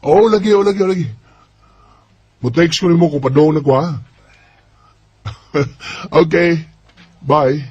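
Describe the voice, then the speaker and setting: Guy playing a cocky young cebuano filipino womanizer, raspy and kinda high, flippant, radio drama. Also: unhurried, long pauses, a little mellow, lo-fi sound. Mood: cheerful. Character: cocky, evasive young womanizing bachelor son. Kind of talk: amateur radio drama acting.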